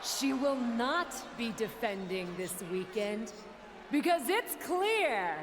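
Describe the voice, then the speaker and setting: A woman playing an authoritative, smug wrestling general manager in a video game, speaking in a formal, measured, theatrical announcing style over background noise. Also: fairly high pitch, sing-song. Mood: formal, assured. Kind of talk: scripted promo speech to an arena.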